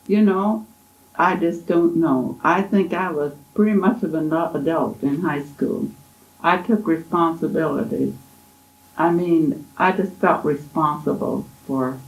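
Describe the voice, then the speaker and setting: A woman's voice: sits low, steady tone. Elderly woman fondly reminiscing. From Kansas, USA. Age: 80s. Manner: gentle, unhurried, fond storytelling with soft laughs. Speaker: oral history interviewee. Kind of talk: reminiscing interview. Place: college library. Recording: echoey library room.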